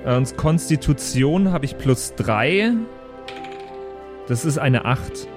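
A man's voice: medium pitch, animated, slow pace, music in the background. A man chatting casually as host and player on a tabletop role-playing podcast, bantering and introducing.

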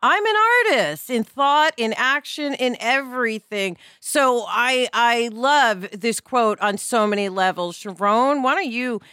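A woman's voice: a little high, a little slow, hardly any pauses, pushed and bright, clean tone, no room sound, clean studio sound. A woman co-hosting a podcast in casual, personal discussion, speaking with emphatic enthusiasm. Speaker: podcast co-host.

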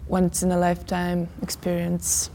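A teenage skier from Latvia, speaking slowly and hesitantly in a soft interview. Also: female voice, fairly low pitch, monotone, slight background noise. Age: teens. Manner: slow, hesitant, soft-spoken. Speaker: athlete interviewee.

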